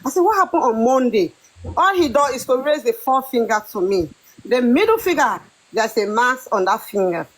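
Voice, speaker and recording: A woman tells her story in halting, hesitant phrases. Her fairly high voice rises and falls widely as she talks. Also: sing-song, rough recording. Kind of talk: recounting a dream on a live stream.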